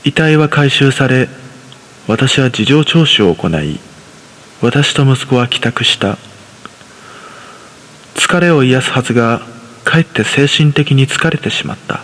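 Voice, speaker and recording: A man's voice: mid-range voice, lively intonation, unhurried, pauses often, a bit bright. A man narrating a scripted horror story in the first person. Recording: long reverb on the voice.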